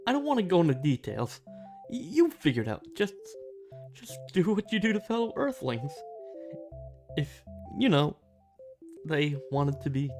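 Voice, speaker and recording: Woman doing a shy snarky alien in asmr roleplay, low voice, stammery and flustered, music underneath. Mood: nervous, hesitant, then flustered, awkward. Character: shy, snarky, touch-starved alien visitor on Earth. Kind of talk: ASMR roleplay audio drama. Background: soft music.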